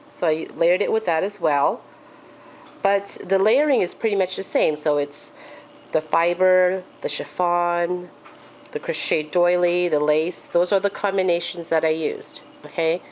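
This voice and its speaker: A woman walks viewers through a handmade craft project in a relaxed, chatty way. Her voice is congested and sits on the lower side. A faint hiss of noise runs under the recording. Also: rough recording. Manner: chatty, with frequent ums and okays. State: congested from allergies. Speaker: crafting tutorial host. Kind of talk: casual craft tutorial.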